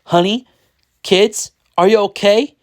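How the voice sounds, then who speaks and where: A storyteller voicing a heroic, emotional father with loud, dramatic declarations. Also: medium pitch, lively intonation, slow, clean tone, dry recording, clean studio sound. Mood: nervous.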